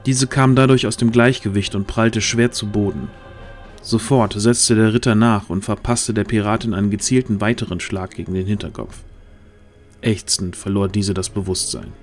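A man's voice: on the low side, fairly even intonation, moderate pace. A man as narrator, reading a scripted fantasy story in a dramatic storytelling style. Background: background music.

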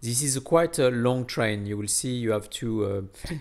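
A man narrating a casual travel vlog in a hesitant, halting way, often repeating words. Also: mid-range voice, vocal fry, a little mellow. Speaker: vlogger.

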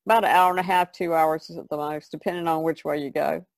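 Gravelly-voiced woman, casual chat. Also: low voice, steady tone, quick pace, dry recording. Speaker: podcast regular, artist. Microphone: video call.

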